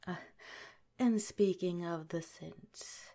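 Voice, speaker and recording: A woman playing a soft-whispering scientist director. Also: lowish voice, slow pace, creaky. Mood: gentle, reassuring. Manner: gentle, polite, reassuring. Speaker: ASMR roleplay performer. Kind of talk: ASMR audio roleplay.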